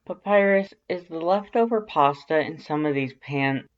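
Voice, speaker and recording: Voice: mid-range voice, moderate pace, muffled recording, voice pushed up, natural voice. A narrator voicing an exasperated, sarcastic human woman in a story, defensive and petulant. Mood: flustered, defensive.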